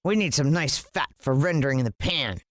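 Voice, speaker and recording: A woman's voice: low voice, expressive, a little fast, no room sound, studio quality. A woman voicing a gluttonous, cruel bearded man in a children's storybook, blustering and demanding as he bellows and mutters. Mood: scheming, gleeful.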